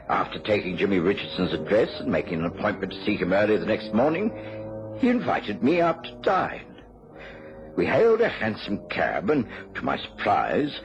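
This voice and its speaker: Casting: courteous British doctor narrating detective tales, hugely varied intonation, dull old radio sound. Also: male voice, lowish voice. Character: Doctor Watson, courteous gentleman doctor recounting his detective friend's cases. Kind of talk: radio drama narration and dialogue. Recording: dull radio recording with music behind.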